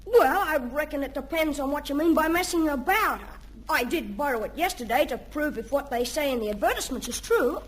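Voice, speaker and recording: A woman's voice: sits high, expressive, a little fast. A woman playing a cheeky, scheming Australian schoolboy in an old-time radio comedy. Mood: evasive. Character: cheeky scheming schoolboy son, eager and cocky. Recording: noisy old radio recording.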